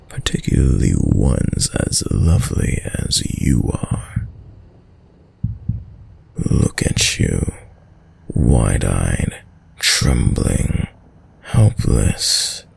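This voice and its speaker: Casting: ancient sadistic vampire, seductive and dominant, slow, menacing delivery with huge melodic swings, for roleplay audio drama. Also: male voice, darkish tone, heavily acted. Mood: predatory, gloating. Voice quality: deep, gravelly. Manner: menacing, silky, mock-tender, theatrical.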